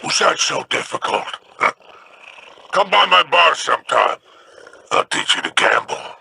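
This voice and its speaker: A man's voice: a little high, lively intonation, unhurried, vocal fry, bright, forceful tone, a little hiss or hum, exaggerated voice. An actor playing a sly, scheming male alien trader who talks smugly. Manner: smug, wheedling.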